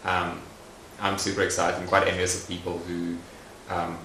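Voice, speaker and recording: A young man speaking in a documentary interview, thoughtful and soft-spoken with frequent pauses and fillers. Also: low-pitched, monotone, a little hiss or hum. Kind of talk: reflective personal interview. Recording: roomy echoey recording.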